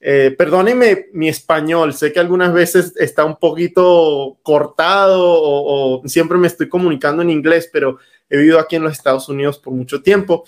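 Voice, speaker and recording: A man speaks as a guest, recounting his life in a halting, searching way full of small restarts. His voice sits a touch higher than most men's. The feel is a relaxed conversation. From Venezuela. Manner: halting, many fillers and restarts. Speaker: guest, startup founder. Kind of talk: conversational interview, storytelling.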